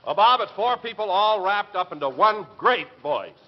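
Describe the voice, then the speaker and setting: A man's voice: high voice, sing-song, moderate pace. A man announcing in the style of a 1940s radio show. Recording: dull, slightly noisy vintage radio recording.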